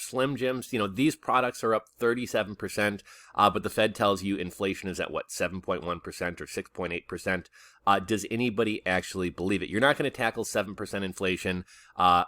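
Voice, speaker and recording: A man with a fairly low voice and a bright, forceful edge. He delivers casual news commentary as a host, conversational and opinionated with a skeptical bent. The sound is dry and close. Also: steady tone, a little fast, no room sound.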